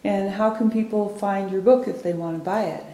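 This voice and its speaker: A woman's voice: mid-range voice, steady tone, moderate pace, mellow. A woman interviewer asking short, conversational questions. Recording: roomy echo.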